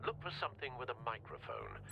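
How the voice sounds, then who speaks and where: An actor playing a loyal, watchful older butler in a video game, with a dry, formal and articulate delivery. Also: male voice, mid-range voice, huge pitch swings, quick pace, vocal fry, dull audio, background noise, heavily acted. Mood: businesslike, dry.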